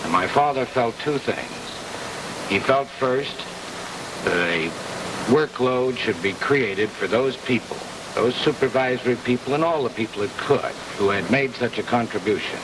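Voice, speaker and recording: An American man in his forties answering a television interviewer as a company executive, in measured, deliberate phrases. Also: mid-range voice. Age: 40s. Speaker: company president being interviewed. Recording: noisy old TV soundtrack, distant mic.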